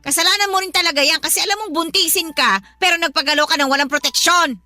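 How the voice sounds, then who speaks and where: A middle-aged mother-in-law in a radio drama, nagging at a rapid clip. Her voice is very high and piercing. She sounds scornful and sarcastic, always laying blame. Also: bright, forceful tone, no room sound, exaggerated voice. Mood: scornful, scolding. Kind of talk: Tagalog radio drama acting. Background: background music.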